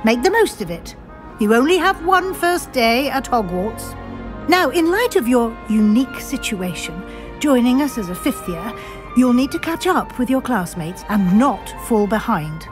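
A woman playing a brisk, formal older witch professor with a British accent, measured and articulate, kindly but firm. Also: a little high, very animated. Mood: brisk, encouraging. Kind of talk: scripted game dialogue. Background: background music.

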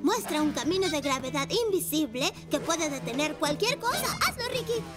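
An actor voicing an excitable little child vehicle in an animated children's cartoon, a dramatic, admiring playmate with a theatrical, exclamatory delivery. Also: sits high, moderate pace. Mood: eager. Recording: loud music behind the voice.

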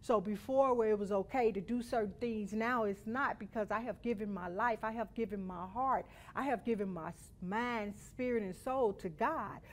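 A woman's voice: medium pitch, moderate pace, hardly any pauses, a little hiss or hum. A middle-aged woman teaching a Bible lesson to children in an instructive, emphatic way, often checking in with short tag questions. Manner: instructive, emphatic, with check-in tags like "right?" and "okay?". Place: indoor studio or church room.

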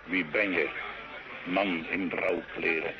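An actor playing a bitter, hard-drinking friar in an old radio drama, gruff and guarded. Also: male voice, deep voice, fairly even intonation, slow, background noise, heavily acted. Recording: dull old radio recording.

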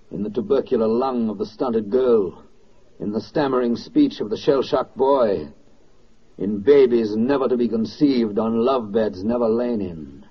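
A man's voice: fairly low pitch, husky. A man performing as a solemn, poetic narrator in a 1940s wartime radio drama, with an oratorical delivery. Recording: muffled old 1940s radio recording.

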